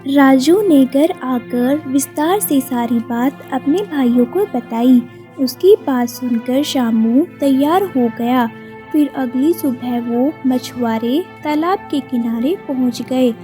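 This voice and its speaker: Woman storyteller, high pitch, unhurried measured pace, scripted moral tale narration, mellow. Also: soft background music.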